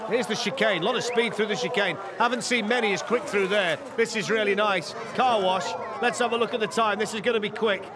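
High-voiced man commentating a race. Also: a loud crowd. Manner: excitable, quick reactions, jokey. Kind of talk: live sports commentary. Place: outdoor race track.

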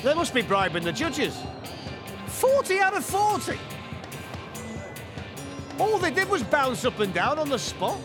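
A man calls a race live, his voice set high and ringing. He gets excited and exclaims over each run as it happens. Music plays clearly behind him. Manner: excitable, quick to exclaim at runs. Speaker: race commentator. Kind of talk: live event commentary.

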